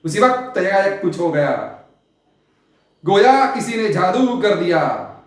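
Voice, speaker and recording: In a heavily reverberant space, a man acts out a solitary, world-weary sultan in a stage play. His fairly high male voice moves slowly and grandly through poetic lines. The delivery is theatrical and brooding, with long pauses and sudden swells. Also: big pitch swings, exaggerated voice. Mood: nostalgic, rapt. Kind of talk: Hindi stage play monologue, poetic Urdu diction. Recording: very echoey, reverberant room.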